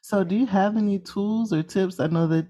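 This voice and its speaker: A woman's voice: medium pitch, moderate pace, warm tone. A woman hosting a podcast, friendly and affirming, laughing often as she talks with her guest. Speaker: podcast host. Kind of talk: podcast interview.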